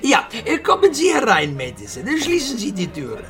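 An actor playing an excitable, blustering bar boss in a radio drama, with a theatrical, stammering and exclaiming delivery and a husky, creaky voice. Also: male voice, extremely high pitch, moderate pace, loud music behind the voice, full character voice. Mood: jovial, coaxing.

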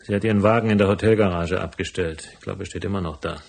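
A hard-boiled detective in a noir radio play. His voice sits low and is husky with fry. He speaks drily and sparingly, always probing. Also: quick pace. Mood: cool, probing. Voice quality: gravelly, husky. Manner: dry, laconic. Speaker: first-person narrator and lead character. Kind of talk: noir radio drama acting and narration.

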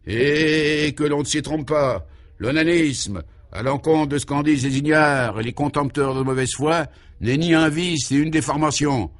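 A man's voice: a little high, a little slow, pitched above the performer's own voice, close to an everyday voice. Man playing a bombastic, declamatory political zealot. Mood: exalted, fervent. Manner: emphatic, declamatory, grandiloquent. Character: pompous, fanatical male party militant, bombastic orator. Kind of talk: comic radio serial oratory.

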